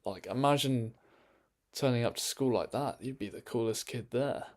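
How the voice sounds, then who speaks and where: A man's voice: medium pitch, normal speed, darkish tone. A man chatting casually on a live stream from his bedroom, rambling with lots of ums and restarts.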